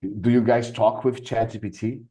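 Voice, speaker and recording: A man's voice: medium pitch, little pitch movement, normal speed, mellow, rough recording. A man with a Brazilian accent hosting a casual podcast chat, playful, laughing often and stumbling over words. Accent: Brazilian Portuguese. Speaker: podcast host and English teacher.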